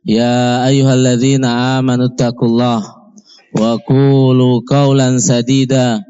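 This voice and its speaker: A man opening an Islamic sermon with formal, solemn and measured recitation, in slightly muffled audio. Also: medium pitch, level tone, dark tone, smooth. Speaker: Islamic preacher.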